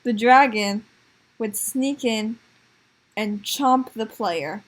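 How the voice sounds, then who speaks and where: A woman improvising comic stories for a puppet show in a giggly, halting way. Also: fairly high pitch, low-quality recording. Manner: giggly, halting, making it up as she goes.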